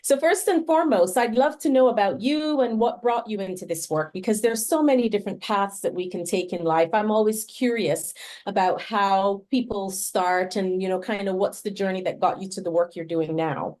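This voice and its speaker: A woman's voice: mid-range voice, moderate pace, a little mellow. A woman hosting a podcast, welcoming her guest in an effusive, friendly way. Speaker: podcast host. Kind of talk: warm podcast interview.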